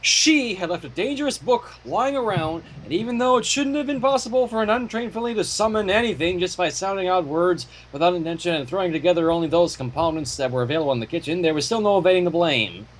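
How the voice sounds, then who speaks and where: High-pitched, husky man narrating dramatically. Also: quick pace, hardly any pauses, low-quality recording. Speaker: fan fiction reader. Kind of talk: dramatic scripted story narration.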